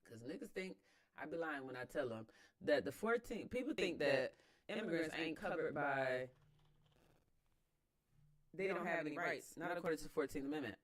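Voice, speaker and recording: A man's voice: a little high, animated, moderate pace, long pauses, a bit bright. An American man giving casual, opinionated commentary as host of a read-aloud, emphatic and slangy, repeating phrases for emphasis.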